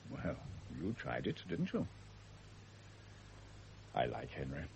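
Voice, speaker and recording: Gravelly, deep-voiced family doctor. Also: male voice, huge pitch swings, darkish tone, exaggerated voice. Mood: frank, reassuring. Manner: brisk, frank, fatherly. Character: brisk, kindly, blunt family doctor, reassuring and paternal. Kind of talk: period radio drama acting. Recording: hissy old radio drama recording.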